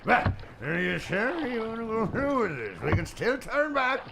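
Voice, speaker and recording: A gravelly old boatman in a radio drama, fearful and rustic, his high voice swinging widely. He speaks like a folksy sailor over clear background noise. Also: big pitch swings, normal speed, full character voice. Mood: fearful, pleading. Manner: rustic sailor speech, quavering pleas. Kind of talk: radio play acting.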